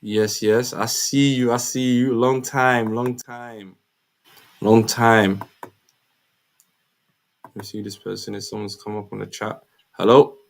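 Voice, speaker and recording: A man's voice: fairly low pitch, little pitch movement, unhurried, vocal fry, long pauses. A man from Somaliland with a British accent, hosting a casual live chat stream in an informal, slangy way.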